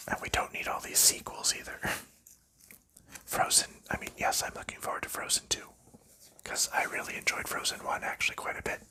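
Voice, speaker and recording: A man chatting softly in a whisper for an ASMR video, rambling and chuckling lightly now and then. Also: moderate pace. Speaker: ASMR creator.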